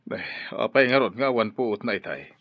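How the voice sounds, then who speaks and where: A man's voice: medium pitch, lively intonation, normal speed. Anxious decent man in a radio play, mid voice, kinda muffled. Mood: worried. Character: anxious, well-meaning man troubled by an accident. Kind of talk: radio drama acting. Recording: dull, dry studio sound.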